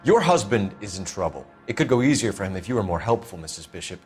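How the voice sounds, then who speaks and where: An actor playing a stern male police detective in a 1940s noir video game, pressing with cool, steady questions. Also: fairly low pitch, quick pace, soft background music. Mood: probing.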